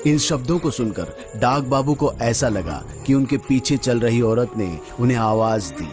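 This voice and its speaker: A man narrating a scripted horror story dramatically, drawing out phrases for suspense, with music behind him. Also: mid-range voice.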